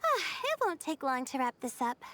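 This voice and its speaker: A woman playing an earnest, sleepy young female student astrologer in a video game, with a hesitant, soft way of talking. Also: high voice, huge pitch swings, normal speed, full character voice. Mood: calm, self-assured. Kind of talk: video game character acting.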